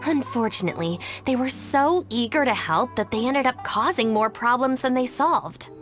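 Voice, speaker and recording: An actress playing a poised, responsible young gang deputy in a video game, speaking politely, formally and persuasively. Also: female voice, a little high, lively intonation, moderate pace, fairly bright tone, soft background music. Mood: apologetic, formal.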